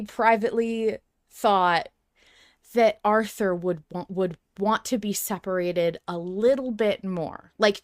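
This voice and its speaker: A woman's voice: mid-range voice, dry recording, studio quality. A woman co-hosting a podcast, chatting casually in an emphatic, opinionated, sweary way. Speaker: podcast co-host. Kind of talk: casual fan discussion chat.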